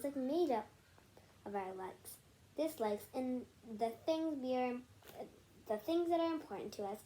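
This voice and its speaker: A woman's voice: fairly high pitch, dark tone, lightly acted. A woman reading aloud the part of a kind, patient schoolteacher who encourages and explains things to her class. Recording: noisy home recording.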